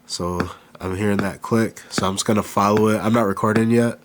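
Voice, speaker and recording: A man with a cold and a nasal voice, casually talking through a hands-on beatmaking tutorial, halting as he works. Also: lowish voice, a little hiss or hum. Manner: relaxed, halting, thinks aloud while working. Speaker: beatmaker demonstrating gear. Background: beat playing behind.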